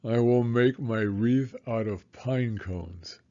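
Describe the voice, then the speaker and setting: A man's voice: mid-range voice, slow, warm tone, lo-fi sound, natural voice. A man voicing a friendly, earnest dragon with childlike cheer in a children's storybook, speaking simply.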